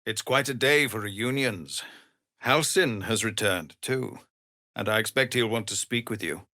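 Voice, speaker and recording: An actor playing a weary, honourable middle-aged male tiefling soldier in a fantasy game, speaking in an earnest, formal, measured way. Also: lowish voice, bright, forceful tone, no room sound, clean studio sound. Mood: earnest, grateful. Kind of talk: dramatic fantasy game dialogue.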